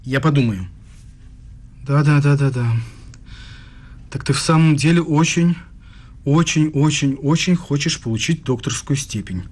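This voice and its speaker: A man's voice: fairly high pitch, a little slow, long pauses, darkish tone. An actor playing a jealous young male student with a sardonic, needling manner in a radio play. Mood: mocking.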